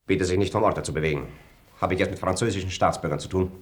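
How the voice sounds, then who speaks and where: Actor as deep, rapid-fire officious German lieutenant. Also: male voice, lightly acted. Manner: formal, pompous, barking officialese. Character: stiff, officious German army first lieutenant. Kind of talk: German radio drama acting.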